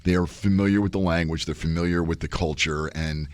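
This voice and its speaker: A man's voice: low voice, fairly even intonation, a little fast, dry recording. A middle-aged man, a professor guest on a radio talk show, explaining at length with fillers and restarts.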